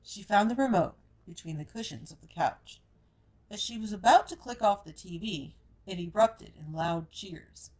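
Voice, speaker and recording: A woman's voice: medium pitch, expressive, normal speed, a little mellow, low-quality recording. A woman storyteller reading scripted fiction narration aloud.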